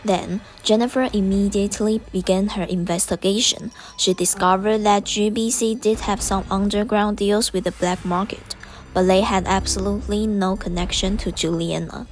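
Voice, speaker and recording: A woman's voice: mid-range voice, fairly even intonation, normal speed. A woman with a Taiwanese accent reading scripted narration for a student English radio drama. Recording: narration over clear background music.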